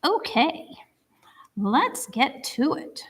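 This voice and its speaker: A woman's voice: sits high, sing-song, extremely slow, a bit bright, whispered. A woman hosting a history society talk, chatty and upbeat as she makes announcements and introduces the speaker to a live audience. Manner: chatty, upbeat, a little rambling. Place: lecture room before an audience. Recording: long hall reverb.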